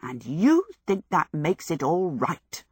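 A woman's voice: lowish voice, sing-song, moderate pace, fairly bright tone, husky, no room sound, clean studio sound, heavily acted. A woman voicing a grumpy, stubborn old woman, grumbling and sarcastic, in a storybook reading.

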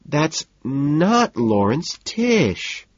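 A man's voice: a little high, wildly swooping pitch, unhurried, breathy edge, no echo, dull audio, voice pushed up, full character voice. A man voicing a whiny, fretful young society woman in an audiobook story, with a plaintive, sighing manner. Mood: whiny, upset.

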